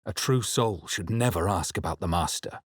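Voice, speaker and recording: A deep, husky, brooding fantasy narrator. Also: male voice, no echo, studio quality. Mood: solemn, brooding. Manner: solemn, deliberate storytelling. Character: brooding fantasy hero narrating his own perilous adventure. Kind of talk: scripted first-person game narration.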